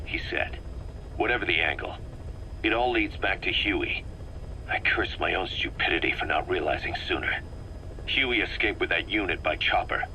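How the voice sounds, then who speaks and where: Sharp, intense military second-in-command. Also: male voice, low-pitched. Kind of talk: video game voice acting. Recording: faintly noisy cassette tape recording.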